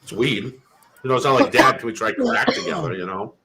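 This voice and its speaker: A man in his thirties from Massachusetts, swapping stories in a relaxed chat. He swears constantly and acts out each quote with gusto, and his voice sounds bright and cutting. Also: medium pitch, a little fast. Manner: profane, animated storyteller acting out quotes. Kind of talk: casual chat, storytelling.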